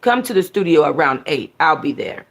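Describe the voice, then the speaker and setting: A woman's voice: low voice, big pitch swings, normal speed, fairly bright tone, exaggerated voice. A woman voicing a blunt, demanding man, a lover in a steamy urban novel, with terse slangy talk. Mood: curt.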